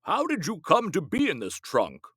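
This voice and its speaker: A man voicing a gentle village rabbi in a storybook, speaking solemnly and proclaiming rules. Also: sits high, wildly swooping pitch, moderate pace, slightly rough, no echo, studio quality, pitched above the performer's own voice, heavily acted.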